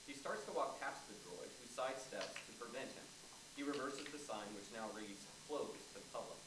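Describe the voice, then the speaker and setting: A man's voice: mid-range voice, moderate pace. A man narrating a staged play reading, reading out scripted stage directions. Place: large indoor theatre space, staged reading. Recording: distant echoey room, clearly noisy.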